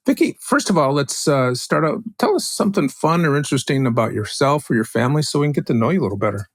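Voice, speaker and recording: A man's voice: on the high side, expressive, fast talker, no room sound. A male podcast host introducing a guest and asking friendly, upbeat questions with fillers. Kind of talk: podcast interview.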